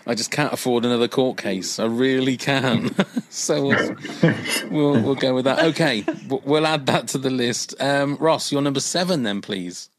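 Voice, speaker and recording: A man's voice: mid-range voice, normal speed, slight background noise. A man hosting a casual chat, joking at his own expense and laughing easily. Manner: joking, self-deprecating, laughs often. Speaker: podcast host.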